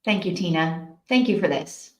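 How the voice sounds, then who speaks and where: A woman's voice: lowish voice, expressive, moderate pace. Woman chatting in an echoey room. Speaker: host of a live reading-sprint stream. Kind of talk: casual chat, reading out and answering viewer comments. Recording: roomy, echoing sound.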